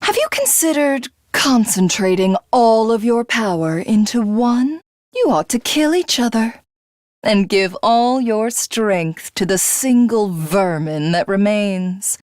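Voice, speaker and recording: Video game villainess: pompous would-be goddess, formal and theatrical, unhurried pace, very dry recording. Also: female voice, medium pitch, animated, a little mellow, dry recording. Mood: smug, imperious. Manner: pompous, formal, grandiose, condescending. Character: haughty female alien warlord craving godhood.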